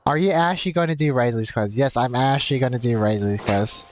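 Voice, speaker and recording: A young man with a medium-pitched voice hosts a game livestream. He rambles conversationally and casually, with game music playing behind him. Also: fast. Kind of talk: casual chat while gaming.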